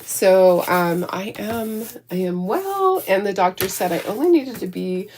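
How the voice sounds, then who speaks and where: Squeaky-voiced chatty craft host woman. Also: expressive, unhurried, darkish tone, a little hiss or hum. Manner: rambling, self-interrupting, giggly. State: recovering from illness.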